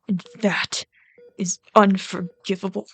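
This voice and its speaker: Woman acting as lovesick villainess, slow dramatic delivery, bitter, pleading, hushed and intimate, ASMR roleplay. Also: mid-range voice, flat delivery, slightly rough, heavily acted.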